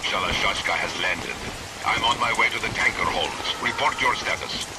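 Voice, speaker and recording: A man's voice: lowish voice, normal speed, very noisy, close to an everyday voice. A man playing a stern old mercenary colonel. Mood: commanding. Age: older. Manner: authoritative, formal commands. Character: stern older male mercenary colonel and father.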